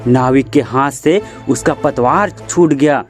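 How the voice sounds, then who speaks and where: A man narrating a children's moral story in a measured, deliberate storytelling manner. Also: mid-range voice, faint music behind.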